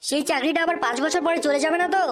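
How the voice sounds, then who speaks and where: Very fast, cheeky young man, voiced by a woman. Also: high voice, close to an everyday voice. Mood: indignant. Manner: sarcastic, challenging. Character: cheeky, indignant young male job seeker. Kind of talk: comedy cartoon dubbing. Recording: music behind the voice.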